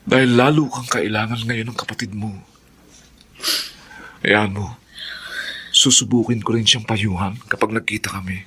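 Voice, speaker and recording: Husky, earnest young suitor. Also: male voice, lowish voice, fairly even intonation, moderate pace, pauses often. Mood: concerned, reassuring. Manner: gentle, earnest, reassuring. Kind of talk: Filipino radio drama acting.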